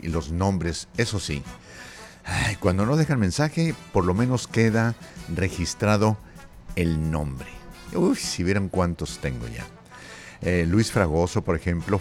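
A man's voice: sits low, darkish tone. An older man with a Mexican accent hosting a live show in a jovial, folksy, chatty way. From Zacatecas, Mexico. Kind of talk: casual chat with audience.

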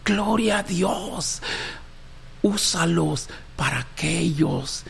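A man's voice: on the high side, very slow, gravelly, slightly rough. A Latin American man preaching an impassioned, off-the-cuff sermon in a repetitive, exhortative way, calling on his listeners as brothers and sisters. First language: Spanish.

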